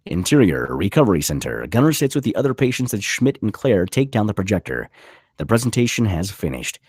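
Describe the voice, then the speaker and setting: A man's voice: on the low side, very animated, brisk, darkish tone, slightly rough, no echo, studio quality. A man narrating a screenplay at a table read, reading out scene headings and stage directions.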